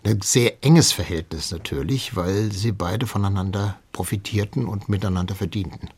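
A man's voice: on the low side, moderate pace, darkish tone, breathy edge. A man speaking as an expert guest in an interview, explaining history in an anecdotal way.

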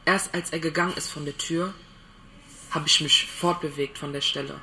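A man's voice: fairly high pitch, fairly even intonation, moderate pace, pushed and bright, slight background noise. A man giving a spontaneous personal testimony as an eyewitness, in a documentary recording.

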